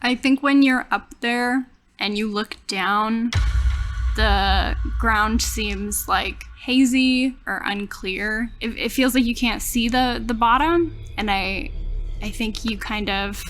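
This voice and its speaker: A woman taking part in a tabletop roleplaying game, describing scenes in a tentative, halting way. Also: on the high side, a bit bright, faint music behind. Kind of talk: improvised game narration.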